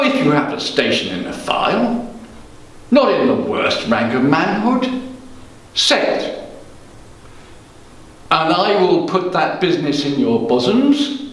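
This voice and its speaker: A man performs as a guilty, scheming king in a Shakespearean tragedy on stage. His voice sits high and he declaims slowly, with bitter, brooding weight. The sound is distant, swimming in heavy room echo. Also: fairly bright tone, heavily acted. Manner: slow, declamatory, theatrical. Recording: echoey room, distant microphone.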